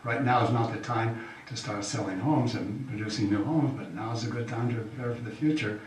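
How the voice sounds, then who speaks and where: An older man, an incumbent county official, giving a halting, folksy campaign speech full of fillers. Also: medium pitch. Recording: echoey hall from far off, faint hiss.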